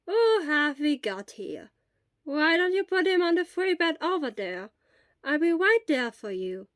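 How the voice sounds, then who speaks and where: Reader doing an old lady school nurse, grandmotherly, calm n reassuring, highish voice, dry recording. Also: a little slow, no room sound, full character voice. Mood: welcoming. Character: kindly, composed elderly lady school nurse.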